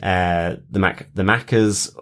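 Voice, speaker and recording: A man with a fairly low voice talks as a podcast co-host in a casual review. He phrases things haltingly, often doubling back on words as he explains. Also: steady tone, fairly bright tone. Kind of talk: casual TV episode review chat.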